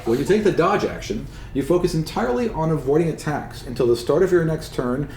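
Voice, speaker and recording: A man's voice: on the high side, lively intonation, brisk. A man acting as game master, narrating a tabletop game and explaining rules in a conversational way. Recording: roomy echo, clear background noise.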